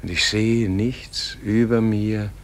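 A man playing a dying, serene Gandhi in a solemn radio play, speaking in a gentle, contemplative, weary manner. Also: on the low side, steady tone, slow pace, slight background noise. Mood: weary pleading.

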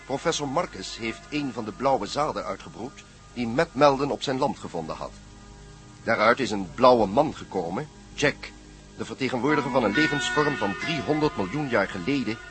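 Male radio drama narrator over music. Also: medium pitch. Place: studio, music behind.

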